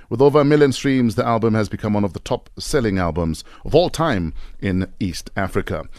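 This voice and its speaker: A man's voice: fairly low pitch, a little mellow, dry recording. A man hosting a breakfast radio show, who introduces his guests with playful hype. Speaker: radio host. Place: radio studio.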